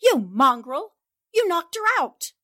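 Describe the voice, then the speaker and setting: A woman acting a sharp, confident young newspaperwoman in a 1940s-style radio drama, crisp and assured as she reasons things out. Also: very high-pitched, sing-song, slow, heavily acted. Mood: alarmed. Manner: crisp, assured, explaining deductions.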